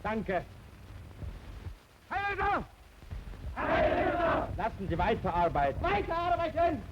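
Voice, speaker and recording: A man's voice: very high voice, wildly swooping pitch, slow pace. A man calling out clipped, barked military commands and reports in an old film. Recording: noisy, dull archive film sound.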